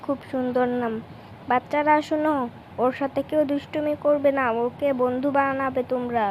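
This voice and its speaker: Woman as a kindly high-voiced cartoon schoolteacher. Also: flat delivery, normal speed, darkish tone, slight background noise, close to an everyday voice, low-quality recording. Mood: kindly, instructive. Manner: instructive, encouraging. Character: kindly male schoolteacher teaching children. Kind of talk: children's Islamic cartoon lesson.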